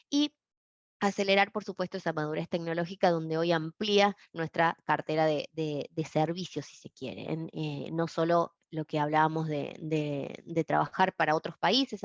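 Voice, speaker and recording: A woman's voice: on the low side, no room sound, dull audio. A woman from Argentina giving a webinar presentation, speaking with many fillers and restarts. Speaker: software company presenter.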